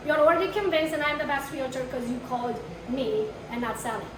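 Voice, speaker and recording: Accented woman trainer, high voice, blunt and brash with a live audience, echoey room, distant mic. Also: brisk, background noise. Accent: foreign. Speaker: real estate marketing trainer. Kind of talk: live workshop talk to an audience.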